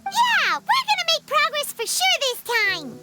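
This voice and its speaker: A woman voicing a small childlike female fairy companion in a video game, squeaky, perky, curious and naive, with exclamatory delivery. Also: huge pitch swings, measured pace, over music, heavily acted. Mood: excited. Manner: speaks of herself in the third person, exclamatory. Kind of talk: video game cutscene acting.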